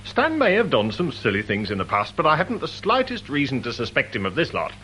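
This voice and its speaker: An actor playing a stiffly formal British police inspector in a radio drama, measured and officious, with understated sarcasm. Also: male voice, mid-range voice, huge pitch swings, pushed and bright, slight background noise, poor audio. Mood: defensive.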